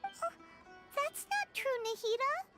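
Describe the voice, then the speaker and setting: Extremely high, squeaky tiny sprite. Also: female voice, expressive, slow, full character voice. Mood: reassuring, urging. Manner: exclamatory, bubbly. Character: chirpy, tiny childlike companion sprite, cheeky and loyal. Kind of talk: video game voice acting. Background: loud background music.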